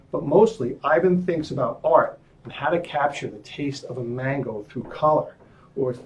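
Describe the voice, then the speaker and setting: A man's voice: medium pitch, poor audio. A man, a school superintendent, introducing a children's book and reading its summary aloud.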